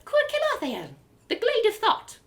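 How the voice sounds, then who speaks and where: A storybook elf, prim and cautious, speaks extremely high. The phrasing is stilted and halting, as if the language were learned, and the pitch leaps about wildly. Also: female voice, wildly swooping pitch, exaggerated voice. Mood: puzzled, lofty.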